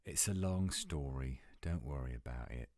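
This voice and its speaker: A man's voice: extremely low pitch, moderate pace, a little mellow, dry recording, studio quality, pitched below the performer's own voice. A man voicing a grumpy, exasperated British werewolf in a scripted audio roleplay, curt and scolding, with a British accent. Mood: irritated.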